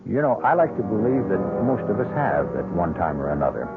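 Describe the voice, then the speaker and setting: A man's voice: sits low, sing-song, brisk. A man hosting a radio drama, speaking in scripted, reflective narration. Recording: tinny radio recording, loud music behind.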